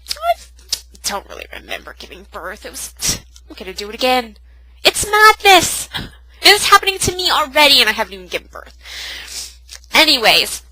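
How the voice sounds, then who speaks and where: A woman's voice: a little high, bright, forceful tone, rough recording. A young woman with a cold, sounding nasal and sniffly, chatting in a casual, self-mocking home vlog. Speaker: vlogger. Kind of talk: casual pregnancy update vlog.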